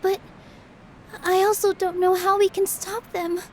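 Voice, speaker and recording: An actress playing a gentle, earnest young theater performer in a game, speaking sincerely. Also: female voice, extremely high pitch, level tone, unhurried, slight background noise. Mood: earnest, worried. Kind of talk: English game dub voice acting.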